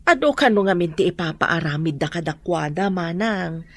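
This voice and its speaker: A woman's voice: fairly low pitch, animated, normal speed, no room sound. An actress playing a middle-aged rural mother who defends her children's schooling, speaking earnestly. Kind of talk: radio drama acting.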